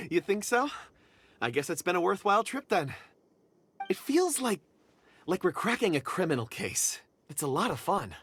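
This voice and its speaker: Earnest young helper. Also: male voice, high-pitched, sing-song, normal speed, a bit bright, husky, heavily acted. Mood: pleased. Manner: earnest and modest, easily delighted. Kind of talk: video game voice acting.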